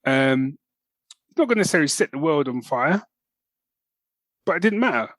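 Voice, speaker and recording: A man's voice: on the high side, big pitch swings, normal speed, fairly bright tone, no room sound. Casual middle-aged British man, close dry sound. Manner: informal, often says man and you know. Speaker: podcast co-host. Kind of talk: casual chat.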